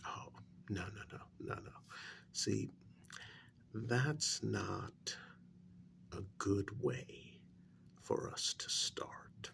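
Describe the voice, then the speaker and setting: A man playing a polite, composed agent interrogating a captive in a soft-spoken ASMR role-play, hesitant and measured, a little patronizing. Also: fairly low pitch, vocal fry, exaggerated voice. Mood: measured, explaining.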